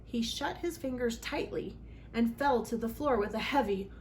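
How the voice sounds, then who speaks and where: Woman doing storytime for kids, highish voice, expressive and dramatic, bit of background noise. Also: moderate pace. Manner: expressive, dramatic emphasis on sound words. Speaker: children's library read-aloud host. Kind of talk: animated storybook read-aloud for children.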